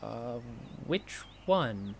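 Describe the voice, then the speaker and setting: A man playing an impulsive, curious friend in an audio drama, conversational and quick to get defensive. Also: medium pitch, gravelly, a little hiss or hum, heavily acted. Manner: animated protests, inquisitive, defensive. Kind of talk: naturalistic audio drama dialogue.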